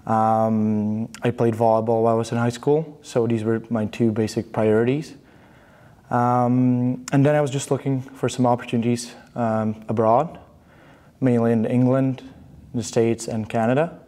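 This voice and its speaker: Young man, from Bulgaria, mellow warm tone, halting casual answers, studio interview. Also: mid-range voice. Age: young adult. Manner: relaxed, halting with fillers and restarts. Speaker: student athlete interviewee. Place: studio in front of a banner.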